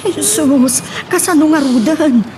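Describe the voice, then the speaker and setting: A woman's voice: high voice, slow pace, background noise. A woman with an Ilocano Filipino accent playing a concerned, scolding friend in an audio melodrama, probing and emphatic. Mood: shocked. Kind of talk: Ilocano radio-style melodrama acting.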